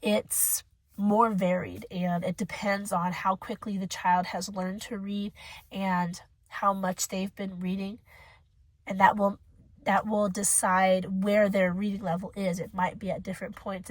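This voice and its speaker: A young woman with a hoarse voice from a cold, rambling casually as she reviews a book for her channel. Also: medium pitch, little pitch movement, unhurried, no echo. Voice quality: husky. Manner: chatty, rambling, emotional.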